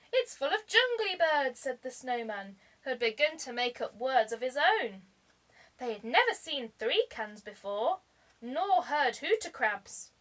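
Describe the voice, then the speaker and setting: A woman reading a children's story aloud like a teacher, expressively, with deliberate dramatic emphasis. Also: high-pitched, a bit bright, low-quality recording.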